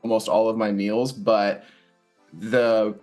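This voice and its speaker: A man's voice: on the low side, little pitch movement, slow. Man chatting casually over music. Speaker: stage actor being interviewed. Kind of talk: casual interview chat.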